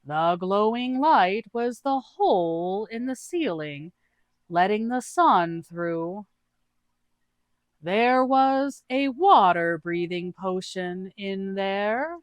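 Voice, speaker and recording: A woman voicing a dopey, guileless fantasy adventurer with drawn-out, deliberate speech in a read-aloud tabletop story. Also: medium pitch, lively intonation, no room sound. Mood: innocent, evasive.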